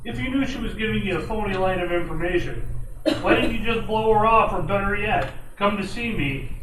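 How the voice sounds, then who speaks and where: A man's voice: high voice, fairly quick, natural voice. A man playing a no-nonsense, commanding police chief in an amateur old time radio detective drama. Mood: stern. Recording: echoey, distant room with faint noise.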